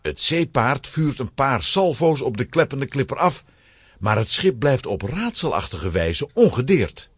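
A man's voice: medium pitch, big pitch swings, unhurried, husky, dry recording, studio quality. A man narrating a children's adventure story in a dramatic, scripted storytelling style.

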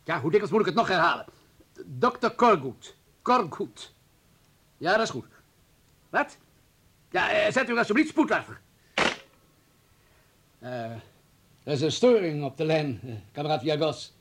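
Fussy, husky hospital administrator. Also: male voice, on the high side, sing-song, measured pace, pauses often, a bit bright, exaggerated voice. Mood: agitated. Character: fussy, deferential male hospital administrator, eager to justify himself. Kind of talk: radio play acting.

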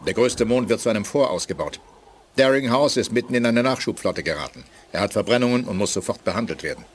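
An actor playing a brisk space major giving urgent military reports in a 1980s German radio play. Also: male voice, on the low side, lively intonation, husky.

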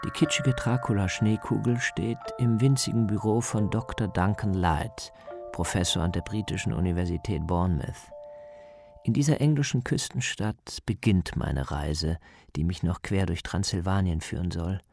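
Deep, gravelly male documentary narrator. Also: moderate pace, over music. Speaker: narrator and reporter of a radio feature. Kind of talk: scripted atmospheric documentary narration.

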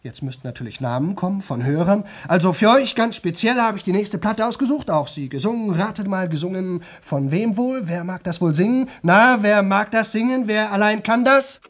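Rambling, boastful young man, higher-pitched voice. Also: lively intonation, moderate pace, hardly any pauses. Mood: showy, excited. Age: young adult. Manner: chatty, rambling, repetitive, self-interrupting. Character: boastful, rambling young man attempting a nonstop-talking world record. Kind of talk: radio play monologue to an audience.

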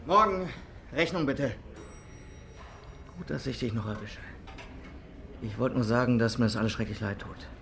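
An actor playing an agitated, apologetic colleague in a crime radio drama, pleading and insistent in a blunt way. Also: male voice, medium pitch, moderate pace, gravelly, pauses often, lightly acted. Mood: apologetic, uneasy. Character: agitated, apologetic male colleague trusting his gut. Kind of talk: naturalistic German crime radio drama acting. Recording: faint background noise.

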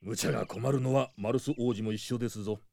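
Gravelly, stern old knight. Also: male voice, medium pitch, fairly even intonation, fast, darkish tone. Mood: admonishing. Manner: formal, old-fashioned, admonishing. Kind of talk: Japanese drama CD acting.

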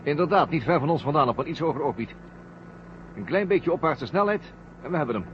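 A man's voice: a little high, expressive, a little fast, lightly acted. Cautious astronaut co-pilot played by a man, dull recording. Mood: practical. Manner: practical, wary. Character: cautious, duty-minded male astronaut co-pilot. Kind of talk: 1970 Dutch radio drama acting. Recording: dull, faintly noisy old radio recording.

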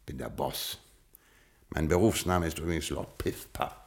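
A low-pitched gentleman gangster, a suave lord of old Scottish stock who has become a crime boss. He speaks with aristocratic understatement and dry irony, coolly menacing, in the manner of 1960s radio drama. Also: moderate pace, vocal fry, slightly rough, exaggerated voice. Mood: nonchalant, ironic. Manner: aristocratic, dryly ironic, understated. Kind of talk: 1960s German radio play acting.

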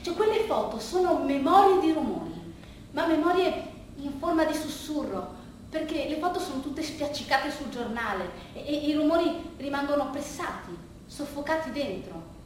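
A woman performing a colloquial, bittersweet theatrical monologue, telling it in a halting, conversational way with hushed asides. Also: high-pitched, animated. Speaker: monologue actress and narrator. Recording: very roomy, distant stage recording, faint noise.

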